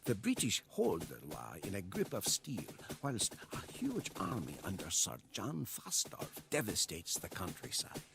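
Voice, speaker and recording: Game cutscene narrator: a weary, loyal medieval soldier chronicling a war, deep, solemn, expressive delivery over music. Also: male voice, measured pace, creaky, hardly any pauses, background noise, heavily acted. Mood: solemn, reflective. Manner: solemn, storyteller-like. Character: weary, devoted French soldier chronicling Joan of Arc's war. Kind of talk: scripted dramatic narration. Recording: music behind the voice.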